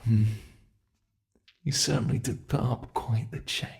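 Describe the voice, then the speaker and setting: A man performs a centuries-old monster in an ASMR roleplay. It is a cruel, playful predator that taunts its prey in a gravelly voice. He speaks slowly and deliberately, often sinking into close, menacing whispers. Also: mid-range voice, steady tone, darkish tone.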